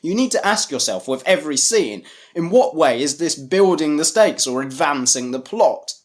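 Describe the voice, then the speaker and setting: High-voiced male reviewer, lively delivery. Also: moderate pace. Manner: critical, dry sarcasm. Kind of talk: scripted review narration.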